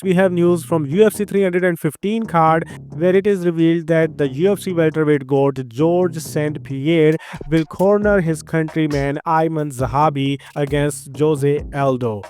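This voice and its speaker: A man's voice: a little high, a little mellow. A man with an Indian accent hosting a casual fight news roundup, with conversational asides. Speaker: host.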